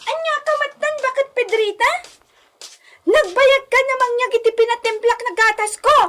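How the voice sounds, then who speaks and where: Woman acting a very high-voiced young heiress. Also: normal speed, a bit bright. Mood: curious, chatty. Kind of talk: radio drama acting.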